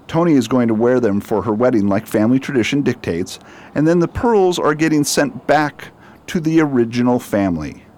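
A man's voice: mid-range voice, expressive, darkish tone, natural voice. A man as a commanding, shrewd detective. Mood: serious. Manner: brisk, authoritative, knowing. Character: shrewd, confident male detective, secretive and commanding. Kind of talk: amateur radio drama acting.

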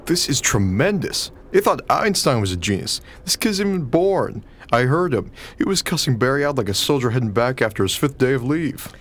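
An actor playing an anxious, analytical, argumentative husband with an earnest, questioning manner in a radio play. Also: male voice, medium pitch, sing-song, normal speed, hardly any pauses. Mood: agitated.

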